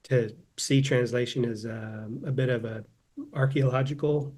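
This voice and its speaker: A man's voice: mid-range voice, fairly even intonation, measured pace, darkish tone. Thoughtful man in his fifties, restarting often. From Southern California. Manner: thoughtful, often repeats words while restarting. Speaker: guest, philosophy professor and translator. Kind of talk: casual interview conversation.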